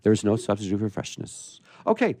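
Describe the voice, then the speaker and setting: A man hosting a radio theatre show, reading a humorous story and chatting playfully with theatrical pauses. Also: on the low side, big pitch swings, warm tone.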